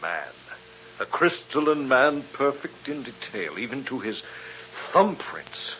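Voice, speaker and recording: A man's voice: mid-range voice, very animated, takes it slowly, fairly bright tone. An actor playing a commanding, incredulous male doctor of science in a dramatic old-time radio style. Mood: amazed. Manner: dramatic, questioning. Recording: muffled hissy vintage radio.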